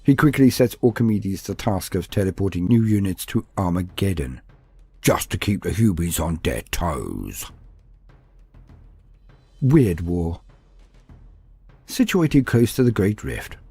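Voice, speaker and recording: Low husky guy narrating epic war lore, dry recording, bit of music behind. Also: warm tone, no echo. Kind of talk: scripted dramatic narration of fantasy war lore.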